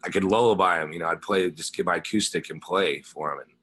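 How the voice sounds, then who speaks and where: A man with a low voice speaks as a guest on a music interview. He is laid-back and conversational, leaning on "you know" as he goes. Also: fast talker, fairly bright tone, slightly rough. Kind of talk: casual chat, personal anecdotes.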